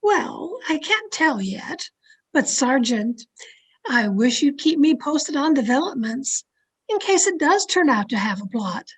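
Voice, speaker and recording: A woman's voice: high voice, sing-song, measured pace, poor audio. A woman playing an anxious, guarded mystery novelist in an old-time radio drama, with a formal, theatrical delivery. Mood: guarded politeness. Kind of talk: old-time radio drama acting, first-person suspense narration. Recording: remote video-call recording with music behind.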